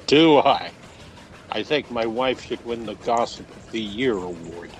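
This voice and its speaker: Man playing a slow-talking, teasing older husband. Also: mid-range voice, lively intonation, a little hiss or hum. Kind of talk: audio fantasy drama acting.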